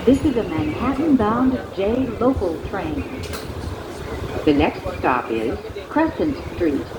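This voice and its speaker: A woman giving measured, pre-recorded subway announcements over a train's speakers. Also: very animated, dull audio. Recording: train announcements with loud ride noise. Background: train rumble and ambience.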